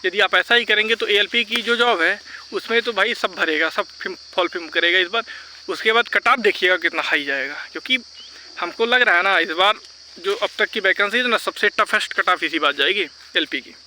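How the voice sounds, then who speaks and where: Man, high-pitched and piercingly bright, insistent informal advice-giver, faint outdoor noise behind. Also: brisk. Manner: insistent, repeats points; mixes English words into speech. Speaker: vlogger advising exam candidates. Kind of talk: informal argumentative advice talk.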